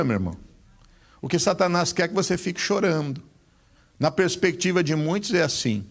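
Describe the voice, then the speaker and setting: A man preaching a sermon in a slightly high, gravelly voice. His delivery swings up and down in sweeping rises and falls. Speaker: pastor.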